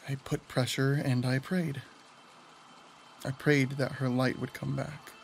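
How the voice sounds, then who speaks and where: Slow, creaky-voiced, haunted earnest man, played by an actor. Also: medium pitch, slight background noise. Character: Raphael, weary, earnest, haunted man, a first responder type. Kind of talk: dramatic audio drama dialogue. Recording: music under the voice. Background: music.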